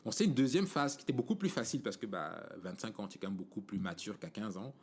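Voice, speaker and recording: A man with a Cameroonian French accent talks through a podcast monologue in a loose, conversational way, dropping "tu vois" every few phrases. His voice sits very low. A faint hiss of background noise runs under the recording. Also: lively intonation, fast. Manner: conversational, many fillers and "tu vois" asides. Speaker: podcast host. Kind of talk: casual monologue.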